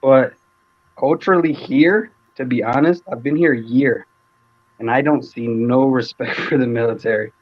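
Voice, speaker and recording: A man's voice: medium pitch, normal speed, lo-fi sound. A man, speaking hesitantly with many fillers in a live online discussion. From Mexico. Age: 20s. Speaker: panel participant.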